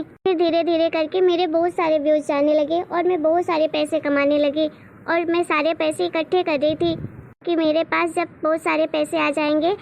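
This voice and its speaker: A woman's voice: very high voice, a little hiss or hum. A woman voicing a determined, devoted young daughter in a cartoon moral story, speaking earnestly and explaining herself.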